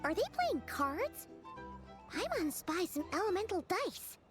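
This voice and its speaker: A woman voicing a tiny, childlike floating companion girl in a video game, perky and squeaky. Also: very animated, measured pace, music in the background, exaggerated voice. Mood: curious. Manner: refers to self in third person.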